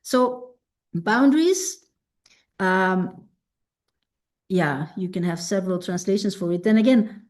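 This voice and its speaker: A woman coach whose first language is Dutch, teaching a self-help masterclass in an unhurried, reflective way and often checking in with "right?". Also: a little high, a little mellow.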